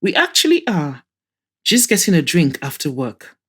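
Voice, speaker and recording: A woman reading the part of a calm, supportive, practical man comforting a friend in an audio drama. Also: low-pitched, moderate pace, slightly rough, exaggerated voice. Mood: casual.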